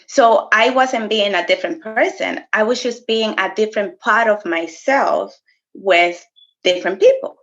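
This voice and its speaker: Animated woman chatting, slightly muffled. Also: medium pitch, a little slow. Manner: animated, laughs often, code-switches briefly. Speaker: podcast co-host. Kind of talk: casual conversation.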